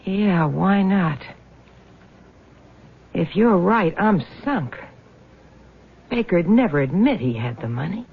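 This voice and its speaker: Husky, hard-boiled greedy widow. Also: female voice, fairly low pitch, expressive, slow, pauses often, narrow, tinny audio, heavily acted. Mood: scheming. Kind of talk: radio drama acting. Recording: thin band-limited old radio recording.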